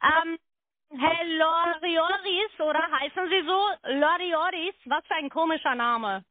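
A woman with an extremely high voice argues as a call centre agent. Her phrasing is non-native and confrontational, heard over a tinny, dry telephone line. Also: takes it slowly, no room sound. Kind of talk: argumentative phone conversation. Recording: narrow tinny phone line.

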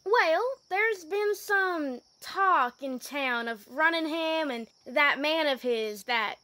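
A woman's voice: sits high, expressive, slow, sharp tone, dry recording, heavily acted. A woman playing a folksy, gossipy, cautious small-town sheriff in an old-time radio drama, with a rustic, hesitant manner. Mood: folksy, wary.

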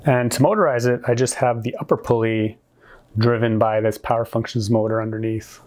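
A man in his forties hosting a hobby video, giving a scripted, clearly structured explanation in an upbeat way. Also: medium pitch, fairly even intonation, brisk, a little mellow. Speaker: host of a brick-building channel.

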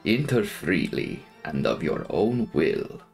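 Man putting on a slow, low, courtly old vampire count. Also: lively intonation, darkish tone, faint music behind, full character voice. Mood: solemn welcoming. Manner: slow, formal, courtly welcome.

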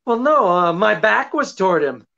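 A man's voice: high voice, sing-song, moderate pace, vocal fry, bright, forceful tone, a little hiss or hum, heavily acted. Man acting genial, wry detective, dull sound. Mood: alert, reassuring. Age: middle-aged. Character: genial, unflappable middle-aged male detective. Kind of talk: old-time radio mystery acting. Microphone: video call. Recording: dull lo-fi sound, music behind.

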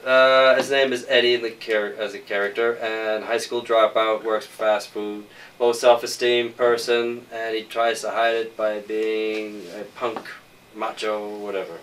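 A man's voice: fairly low pitch, level tone, measured pace, fairly bright tone. A middle-aged man explaining a film plot in conversation as its screenwriter. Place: indoors on a sofa, group interview. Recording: distant mic with background noise.

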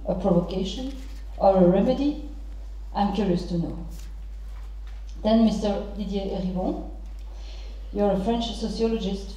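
A middle-aged woman moderating a panel, introducing guests and posing questions formally in halting, deliberate phrases. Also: mid-range voice, mellow, a little hiss or hum. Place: large theatre stage. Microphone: handheld microphone through hall speakers. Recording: very echoey theatre PA, distant, muffled.

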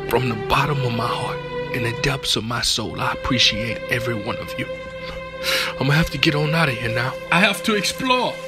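A man's voice: medium pitch, animated, a little fast, loud music behind the voice, lightly acted. A man voicing a sentimental, grateful chimpanzee in a comic animal voiceover, gushing with emotion. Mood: tearful gratitude.

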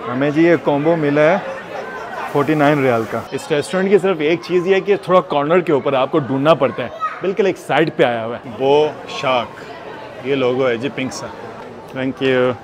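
A man in his thirties talks through a food vlog in an upbeat, chatty way, sprinkling English phrases into his speech. His voice sits a little high for a man. Faint background noise hangs under the recording from the camera mic. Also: normal speed, crowd noise. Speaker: food vlogger. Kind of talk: casual food vlog narration. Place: shopping mall food court, then hotel room.

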